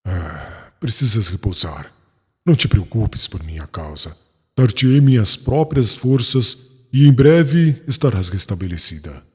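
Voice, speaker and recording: Reverberant, man as passionate fiancé, very breathy husky low voice, tender, pleading, audiobook drama. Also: animated, unhurried, full character voice. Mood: tender, ardent. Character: ardent young scholar, emotional tender fiancé. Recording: long reverb, music underneath.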